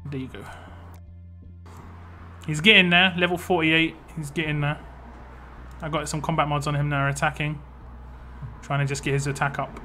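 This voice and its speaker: A man's voice: fairly high pitch, little pitch movement, moderate pace, long pauses, sharp tone, faint music behind. A man casually chatting on a live stream, reading viewer comments aloud and answering them as he plays. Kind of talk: casual live stream chat while playing a mobile game.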